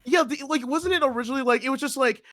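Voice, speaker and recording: A man in an excited, casual group commentary who stammers and repeats his words. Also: high voice, rapid-fire, crowd noise. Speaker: voice actor on a fandub commentary.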